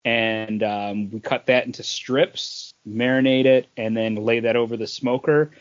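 A man with a medium-pitched voice explains things casually as a podcast co-host. His delivery is conversational and informal. The sound is somewhat dull and lo-fi. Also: fairly even intonation, a little slow.

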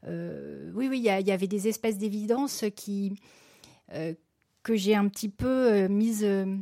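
A middle-aged woman answering interview questions about her work as a festival programmer, halting and full of restarts. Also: mid-range voice, creaky, warm tone, no echo, clean studio sound. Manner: halting, many restarts and fillers. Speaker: festival general delegate.

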